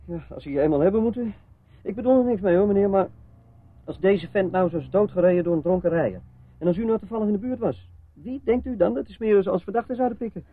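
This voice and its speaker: A man's voice: high voice, fast, no room sound. An actor playing a shifty, cheeky petty crook in a radio drama, wheedling and wisecracking as he protests his innocence. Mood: pleading, evasive. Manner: wheedling, evasive, wisecracking, stammering self-justification. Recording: muffled phone-like old radio play, noisy.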